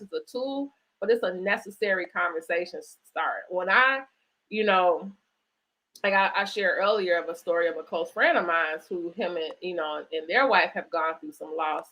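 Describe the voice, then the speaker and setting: A woman hosting a conversational interview, speaking reflectively in halting phrases and often checking in with "right?" or "you know". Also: medium pitch, sharp tone, rough recording. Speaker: host interviewing an author. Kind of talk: conversational interview at a virtual book event.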